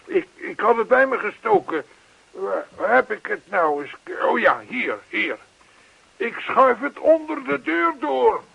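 A chatty older neighbour guy in a radio play, really high creaky voice, keeps earnestly pushing, thin phone-like sound. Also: sing-song, measured pace, no echo, full character voice. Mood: eager, persistent. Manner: persistent, reassuring, long-winded. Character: earnest, chatty older male neighbour zealous about yoga.

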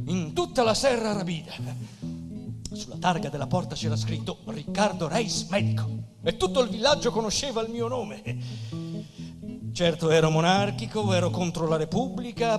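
A man acting on stage as a proud, courteous monarchist village doctor and poet, confiding in a deliberate, formal, theatrical way. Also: high voice, close to an everyday voice. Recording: hall reverb with guitar music behind. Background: live Portuguese guitar music.

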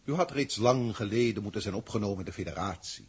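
An actor in a 1960s science-fiction radio drama playing a formal, grandiloquent alien proctor who speaks deliberately and pompously. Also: male voice, fairly low pitch, slightly rough. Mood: lofty, instructive. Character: authoritative, grandiloquent alien proctor from a galactic federation.

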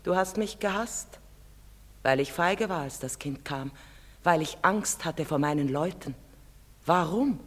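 A proud, sorrowful mother in a stage drama, with a very low and somewhat husky voice. She speaks with solemn, measured emphasis, and a long hall reverb carries every phrase. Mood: bitter, accusing. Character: proud, sorrowful middle-aged foreign mother, secretive and intense. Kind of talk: German stage-drama acting.

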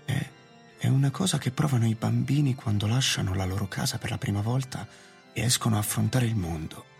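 An actor playing an anxious, homebound doctor in a radio drama, with a hesitant, stammering delivery. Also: male voice, mid-range voice, brisk, vocal fry, darkish tone, natural voice. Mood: anxious, shaken. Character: middle-aged doctor, anxious, homebound gentleman of future. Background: music.

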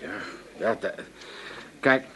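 A man's voice: takes it slowly, sharp tone. An older man with an Amsterdam accent playing a wily, self-pitying old father in a comic radio drama, blustering and repeating himself. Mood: evasive.

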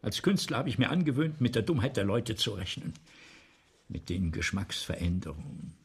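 An actor playing a vain, aggrieved aging French playwright in a radio play, husky and gravelly, with a brooding, self-important delivery. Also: male voice, fairly low pitch, sing-song, moderate pace, full character voice. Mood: scornful. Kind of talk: 1970s German radio play acting.

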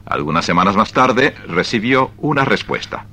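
Deep, bright male radio-play narrator. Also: big pitch swings, moderate pace. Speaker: story narrator.

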